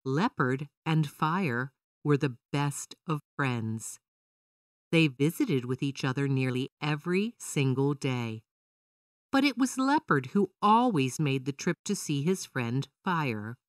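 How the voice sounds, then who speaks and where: A woman's voice: low voice, big pitch swings, slow pace, dry recording, studio quality, far from the mic. A woman storyteller reading a scripted children's story as narrator.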